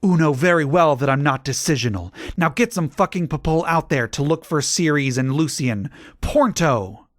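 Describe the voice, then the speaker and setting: A man's voice: on the high side, animated, moderate pace, few pauses, dry recording, clean studio sound, natural voice. A man voicing a hysterical, swearing gothic teenage girl. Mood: angry.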